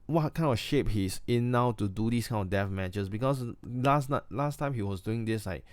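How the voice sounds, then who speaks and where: A man with a Singaporean accent talks in a loose, opinionated, sometimes crude way, stopping and restarting as he goes. His voice sits a little low and sounds smooth and clear. It is recorded close and dry, with almost no room sound. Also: normal speed, a little mellow, no echo. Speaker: wrestling review vlogger. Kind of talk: casual spoken review.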